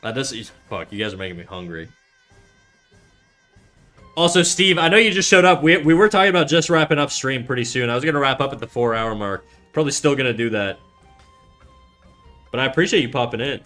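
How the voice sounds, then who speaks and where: A man's voice: mid-range voice, expressive, fairly quick, pauses often, pushed and bright, faint music behind. A young man from Canada casually chatting on an art livestream, rambling and swearing, with lots of fillers and restarts. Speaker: art streamer. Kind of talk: casual livestream chat reading chat aloud.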